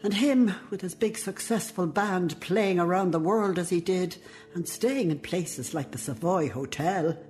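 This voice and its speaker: A woman's voice: lowish voice, a little slow, faint music behind, natural voice. An older Irish actress in a radio play, playing a devoted, plain-spoken old woman in a heartfelt way. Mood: wistful, anticipating. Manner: expressive, heartfelt. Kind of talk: radio drama narration and dialogue.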